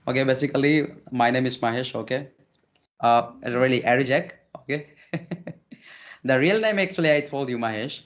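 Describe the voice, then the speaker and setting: A man from Odisha with an Indian English accent, speaking politely as a guest, repeating words and filling pauses. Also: medium pitch, highs cut off. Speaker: interview guest, English learner. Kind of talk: casual conversational interview.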